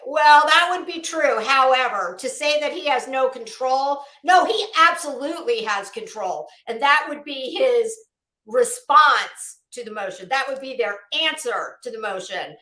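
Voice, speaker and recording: A woman's voice: sits high, animated, unhurried, pushed and bright, echoey room, low-quality recording. A woman hosting casual, critical commentary, blunt, emphatic and scornful in the way she talks. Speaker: YouTube commentary show host.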